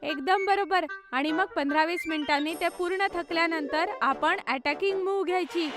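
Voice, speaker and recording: An actor plays a bright schoolgirl in a children's cartoon. Her voice is extremely high, and she speaks with confidence and encouragement, like a born team strategist. Music plays clearly behind her. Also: moderate pace. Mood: rallying, determined.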